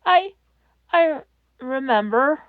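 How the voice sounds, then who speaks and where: A woman voicing a grown male hero with a rough voice, anguished and urgent. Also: high-pitched, expressive, slow, dry recording, narrow, tinny audio.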